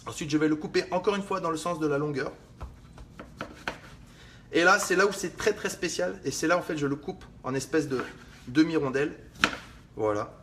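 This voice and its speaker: A man's voice: a little high, normal speed, long pauses, fairly bright tone, slight background noise. A young man hosting a casual live stream, joking and rambling to his viewers as he cuts lemons. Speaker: fitness livestream host. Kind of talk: casual live cooking demo.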